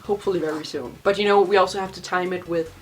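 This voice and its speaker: A woman's voice: lowish voice, fairly even intonation, quick pace, faint music behind. A young woman from Denmark with a Danish accent, chatting casually in a vlog and rambling with many fillers. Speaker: vlogger.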